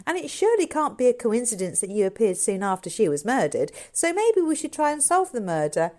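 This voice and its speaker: An eager boy from a storybook mystery , acted out by a woman narrator. He talks earnestly with grown-up airs, and his pitch lifts and swings widely as he takes charge. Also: very animated, a little fast, a little mellow.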